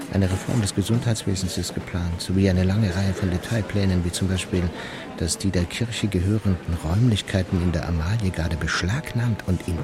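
A tenacious, scheming courtier for a radio drama. He speaks low with a heavy creak, deliberate and insinuating, wrapping self-importance in humility. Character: scheming pious male courtier, falsely humble, tenacious, watchful. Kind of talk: German radio drama acting.

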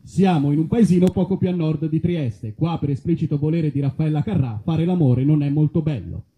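A man's voice: fairly high pitch, a little fast, lo-fi sound. A man narrating a live stage comedy in a mock-solemn, florid storytelling style.

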